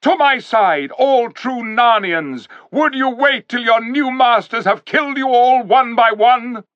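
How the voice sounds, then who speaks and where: A man voicing a young king who rallies his followers with loud, ringing battle cries. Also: very high voice, steady tone, measured pace, no echo, lo-fi sound, voice pushed up. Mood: commanding.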